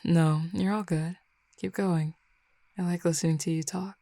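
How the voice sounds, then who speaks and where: Woman as a low, gravelly flirty werewolf. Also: no echo. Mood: relaxed, attentive. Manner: relaxed, teasing, affectionate, unhurried. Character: flirty, easygoing female werewolf pack member, confident and teasing. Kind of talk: intimate roleplay audio drama, casual one-sided conversation.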